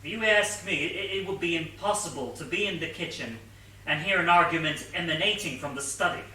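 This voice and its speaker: An actor playing an earnest, officious police inspector in a stage whodunit, questioning in a formal manner. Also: male voice, a little high, a little fast, pushed and bright. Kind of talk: amateur one-act stage play acting. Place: indoor theatre stage before an audience. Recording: echoey theatre stage from far, faint noise.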